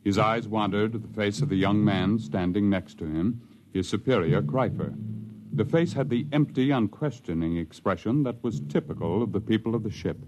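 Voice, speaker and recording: A man narrating a science-fiction story in measured, scripted 1950s radio style. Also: on the low side, noisy background.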